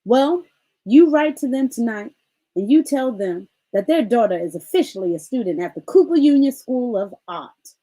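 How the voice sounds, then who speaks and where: A stern, impatient lady examiner from a storybook, voiced by a woman reader. She is curt and in charge, with a mellow timbre and strongly varied pitch. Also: animated, normal speed, poor audio. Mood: firm, businesslike.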